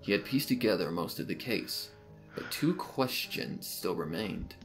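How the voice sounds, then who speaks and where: A man with a low voice narrates a dark mystery story. It is a scripted storytelling read, with guitar music playing softly behind him. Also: big pitch swings, unhurried.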